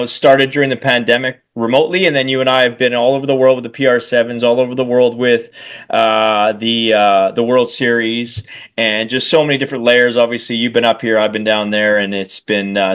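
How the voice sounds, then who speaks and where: A man's voice: mid-range voice, a bit bright. A man co-hosting a rugby podcast, talking casually, rambling with self-deprecating humor. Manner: rambling, many fillers and restarts, self-deprecating. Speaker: podcast co-host and rugby coach.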